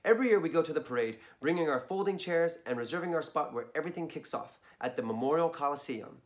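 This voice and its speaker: A hoarse man reading a children's story aloud, chatting in asides to his listeners. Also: fairly high pitch, expressive, fast.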